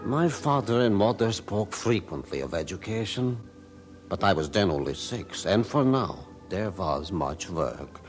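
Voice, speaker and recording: A man's voice: low voice, big pitch swings, unhurried. A man acting as a grown man recalling his hard childhood, in solemn, reflective scripted narration for a drama. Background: faint music.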